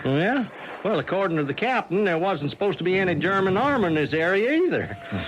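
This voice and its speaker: Jumpy, high-voiced American soldier. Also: male voice, brisk. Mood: puzzled. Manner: folksy, excitable, slangy. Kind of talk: 1970s radio drama acting. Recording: muffled, noisy old radio broadcast.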